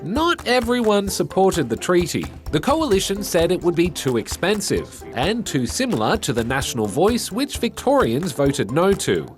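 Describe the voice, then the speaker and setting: Australian male news reporter, bright, animated read, chatty asides, music bed underneath. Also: sits high, moderate pace, hardly any pauses. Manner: lively, conversational with asides. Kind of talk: scripted news explainer narration.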